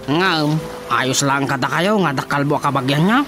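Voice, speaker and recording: An actor playing a naive, earnest young son with an Ilocano accent in a radio comedy-drama. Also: male voice, on the high side, moderate pace, natural voice. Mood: explaining. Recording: prominent music under the dialogue.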